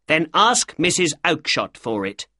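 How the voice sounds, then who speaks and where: A narrator voicing a tall, angry male shopkeeper in an audiobook story, shouting and blunt. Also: medium pitch, sing-song, a little slow, no room sound, studio quality, pitched above the performer's own voice, heavily acted. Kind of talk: audiobook character dialogue.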